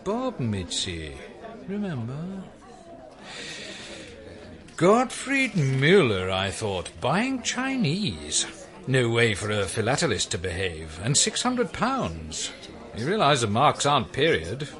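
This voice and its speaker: A man's voice: mid-range voice, wildly swooping pitch, slow, a bit bright, heavily acted. An actor playing a suave, mocking criminal in a radio drama, arch and teasing as he draws out his words.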